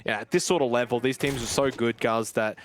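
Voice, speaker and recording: Excitable male esports play-by-play caster. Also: quick pace, a little hiss or hum. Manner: excitable, voice jumps high at big plays. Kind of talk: play-by-play commentary.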